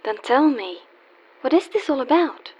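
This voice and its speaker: A woman's voice: high-pitched, expressive, moderate pace, darkish tone, husky. A woman playing a wry, world-weary young call girl in a radio play, teasing yet guarded and wistful. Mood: defensive. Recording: dull lo-fi audio with faint hiss.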